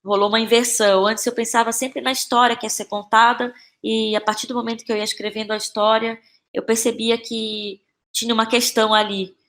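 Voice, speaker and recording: A woman with a Brazilian accent answering reflectively as an interview guest, thoughtful and often pausing to search for words. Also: on the high side, little pitch movement, a bit bright. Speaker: guest playwright and actress.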